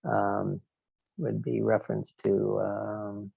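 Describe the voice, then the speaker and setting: A man giving a technical talk in a halting, digressive, explanatory way. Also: on the low side, monotone, gravelly, slightly rough, dry recording, phone-quality sound. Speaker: guest lecturer, loudspeaker engineer. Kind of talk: technical presentation with question and answer.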